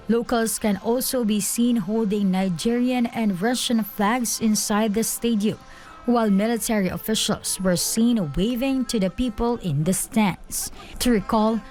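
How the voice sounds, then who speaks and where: Woman, news reporter, scripted narration, mid-range pitch, faint music bed. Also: darkish tone.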